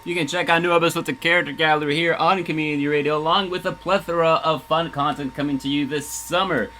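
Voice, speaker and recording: A man's voice: on the high side, fairly even intonation, quick pace, sharp tone. A man hosting a music radio show in casual DJ patter, rambling, joking and self-deprecating. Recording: music playing faintly underneath. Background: faint music.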